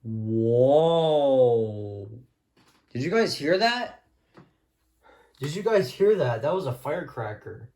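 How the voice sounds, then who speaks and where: A man's voice: mid-range voice, lively intonation, slow, pauses often, darkish tone. A man talking informally and offhand as he shows off a collection, in an unscripted hobby video. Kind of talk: casual showcase of a radio collection, unscripted.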